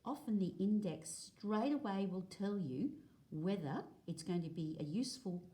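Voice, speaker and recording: A woman reading aloud as a teacher, explaining in a measured way, phrase by phrase. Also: medium pitch, lively intonation, warm tone. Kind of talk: read-aloud lesson of a non-fiction picture book. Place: indoors at a table, filming a book.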